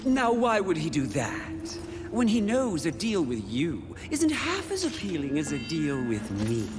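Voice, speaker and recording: A seductive tempter demon from a video game. The delivery is silky, slow and mocking, with theatrical, wide-ranging pitch. Music plays clearly behind. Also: male voice, wildly swooping pitch, big-room sound. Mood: mocking flattery. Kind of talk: video game cutscene acting.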